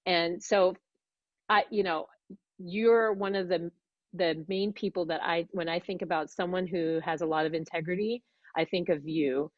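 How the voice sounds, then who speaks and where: A woman hosting a podcast interview, chatty and quick to laugh, with frequent restarts. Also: lowish voice, fairly even intonation, dry recording, highs cut off. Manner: warm, chatty, laughs often, rambling restarts. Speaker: podcast host. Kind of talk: casual interview chat.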